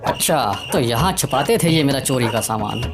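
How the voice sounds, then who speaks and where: A woman plays a vengeful male thief from a village cartoon. The voice is low and animated, full of grumbling, with music behind it. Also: normal speed.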